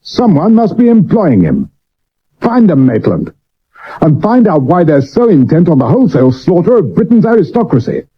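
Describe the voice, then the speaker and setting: A husky, blustering Victorian police chief on dull old radio audio. His voice leaps about as he barks clipped orders, imperious in the manner of a radio play. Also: fairly high pitch, big pitch swings, moderate pace. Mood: agitated, commanding. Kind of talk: 1970s radio drama acting. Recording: dull old medium-wave radio recording.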